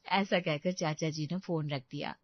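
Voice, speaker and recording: A woman's voice: low voice, fairly even intonation, unhurried, no room sound, lo-fi sound. A woman narrating a scripted bedtime-style moral story.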